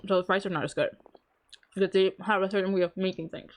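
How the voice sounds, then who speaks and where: A woman's voice: medium pitch, fairly even intonation, fast. A woman from the United States giving casual, chatty, playful reaction commentary as a game streamer. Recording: clear background noise, clicks.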